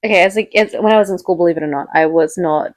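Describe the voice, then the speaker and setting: A woman's voice: fairly low pitch, very fast. A young woman co-hosting a podcast, a therapist talking in a chatty, rambling way full of "like" fillers. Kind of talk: casual podcast chat.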